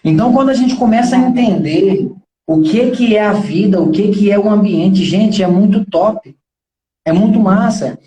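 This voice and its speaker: A man's voice: high-pitched, moderate pace, mellow, roomy sound, low-quality recording. A man in his thirties with a Brazilian accent, giving an informal motivational talk in an earnest, preachy and colloquial way, often posing rhetorical questions.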